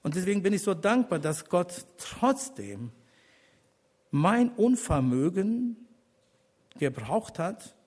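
Husky older man giving an earnest sermon testimony. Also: high voice, big pitch swings, a little mellow. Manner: earnest, deliberate with pauses, rising to emphatic appeals. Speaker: church elder giving a testimony.

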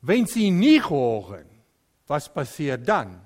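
Slow Afrikaans-accented preacher, lively pitch. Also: male voice. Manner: halting, searching for German words. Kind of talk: sermon.

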